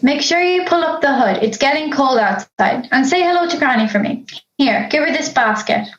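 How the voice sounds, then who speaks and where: A woman playing a brisk, impatient, nagging mother in an audio drama. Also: high voice, lively intonation, echoey room, dull audio.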